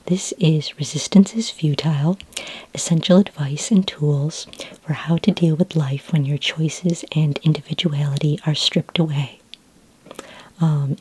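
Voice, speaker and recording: A woman speaking in a soft, breathy, whispery voice for an ASMR roleplay, playing a friendly, patient librarian who talks gently, helpfully and without hurry. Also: low voice, poor audio. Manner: gentle, unhurried, helpful, conversational. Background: computer keyboard typing.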